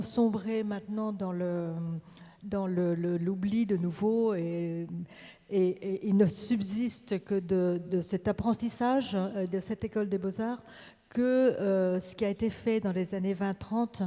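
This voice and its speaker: Halting Vietnamese-born woman in a reverberant theatre. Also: medium pitch, fairly even intonation, mellow. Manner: halting, searching for words, many restarts. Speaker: writer on a festival panel. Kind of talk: panel discussion. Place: large theatre on stage.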